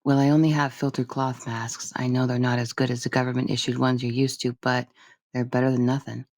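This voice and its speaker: A woman voicing a gentle, easygoing man in a soft, kindly way, as an audiobook character. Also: rumbling low, level tone, a little fast, no echo, clean studio sound, pitched below the performer's own voice.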